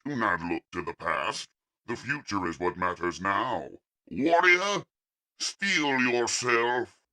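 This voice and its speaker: Alien machine captor , acted by a man: cold and commanding, slow, bright forceful edge, lofty villain for an audio comic. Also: fairly low pitch, lively intonation, full character voice. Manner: measured, pompous, commanding.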